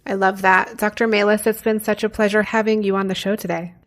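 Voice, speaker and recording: A woman's voice: mid-range voice, flat delivery, a little fast. A woman hosting a podcast, asking questions in a friendly, upbeat way and reading the show's outro. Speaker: podcast host.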